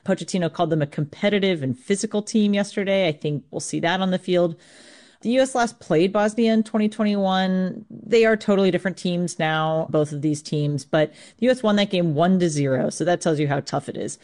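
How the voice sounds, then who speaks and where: A woman's voice: fairly low pitch, a little fast, darkish tone. An American woman working as a sports correspondent, explaining things informally in a conversational live radio report.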